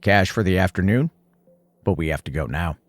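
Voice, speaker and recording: A man's voice: low-pitched, animated, moderate pace, no echo, soft background music, voice pushed down. A man playing a curt, guarded, hard-eyed farrier in his late forties in an audio horror story.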